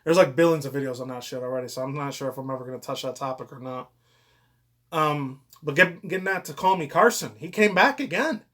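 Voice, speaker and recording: A man's voice: mid-range voice, fairly quick, fairly bright tone. A young man giving rambling, casual commentary as a vlogger, slangy, digressive and full of swearing.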